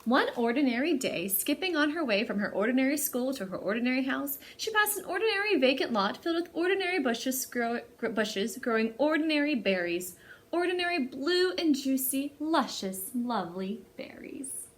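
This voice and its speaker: Lively, high-voiced woman hosting children's storytime. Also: normal speed. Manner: animated, addresses young children directly. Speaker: library storytime host. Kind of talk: expressive children's storybook read-aloud.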